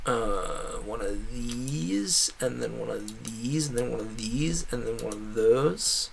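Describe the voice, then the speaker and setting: A man giving casual, rambling commentary as a streamer, animated and jokey, often interrupting himself and muttering asides in his native English. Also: medium pitch, slow pace, creaky, slight background noise. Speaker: gaming streamer.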